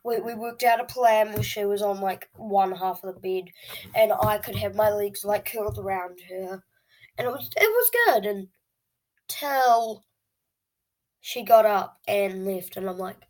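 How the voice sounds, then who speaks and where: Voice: mid-range voice, unhurried, poor audio. An eleven-year-old child hosting a video channel, telling stories casually to viewers in a dramatic, whiny way. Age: child, eleven years old.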